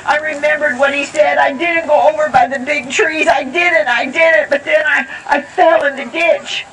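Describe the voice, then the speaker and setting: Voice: high-pitched, steady tone, moderate pace, fairly bright tone, recorded from a distance, a little hiss or hum, voice pushed up, rough recording. A storyteller doing a frightened little girl, pleading. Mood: insistent. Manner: earnest, pleading, repeating herself.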